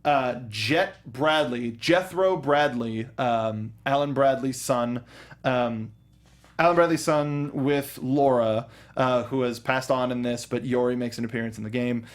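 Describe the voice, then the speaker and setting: A man's voice: mid-range voice, a little slow. A man co-hosting a film review show, talking casually with a fan's enthusiasm. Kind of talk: casual chat and commentary.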